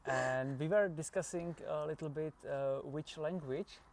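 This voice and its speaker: A woman co-hosting a podcast interview, asking careful, halting questions. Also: sits low, crowd noise. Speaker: podcast co-host.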